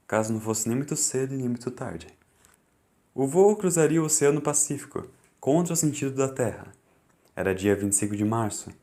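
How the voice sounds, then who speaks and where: A Brazilian man narrating a scripted fan audiobook in a solemn, deliberate, dramatic way. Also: mid-range voice, a little mellow.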